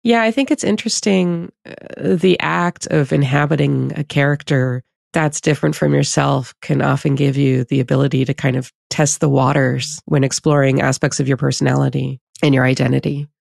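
A woman's voice: rumbling low, fairly even intonation, moderate pace, creaky, few pauses, a little mellow, dry recording, clean studio sound. A woman hosting a podcast interview, speaking thoughtfully and conversationally.

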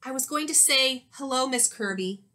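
A woman's voice: sits high, moderate pace, bright, forceful tone, pitched above the performer's own voice. A woman voicing a small, polite, earnest schoolboy in a storybook.

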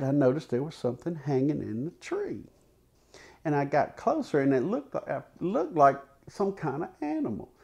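A man tells a folksy tall tale, unhurried, with dramatic pauses and repetition. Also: on the high side, creaky, mellow. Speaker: storyteller. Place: living room in a house, seated by a fireplace. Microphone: lapel.

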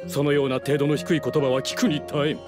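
A man plays a haughty young-blooded noble of a fallen house in a game cutscene. His voice sits high for a man, pompous and full of scorn, with prominent music underneath. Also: flat delivery, fast, natural voice. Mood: indignant, haughty. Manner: pompous, scornful, archaic lordly speech. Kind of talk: theatrical video game dialogue.

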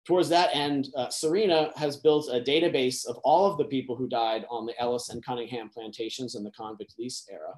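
A man in his thirties presenting historical research over a video call, earnest and measured, his voice trailing off at phrase ends. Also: medium pitch, steady tone, darkish tone, low-quality recording. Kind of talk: online lecture presentation.

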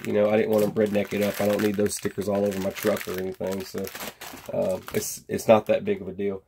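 A man's voice: fairly low pitch, monotone, fast talker, gravelly, a little mellow, a little hiss or hum. A man in his thirties chatting in a folksy, rambling way as he reviews and unboxes gear in a home workshop. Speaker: host of a gear review channel. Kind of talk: casual product unboxing and review chat.

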